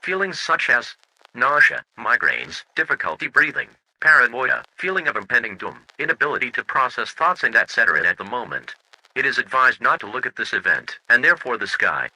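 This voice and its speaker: A man's voice: medium pitch, sing-song, a little fast, pushed and bright, no room sound, low-quality recording. A man reading scripted emergency broadcast announcements in a formal, impersonal, stiff manner.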